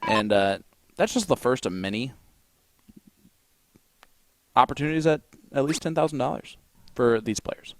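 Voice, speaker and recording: A man's voice: mid-range voice, expressive, normal speed, pauses often, slight background noise. A man with an Indiana accent calling a darts match play by play, joking and teasing his co-commentator. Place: commentary desk at a darts tournament.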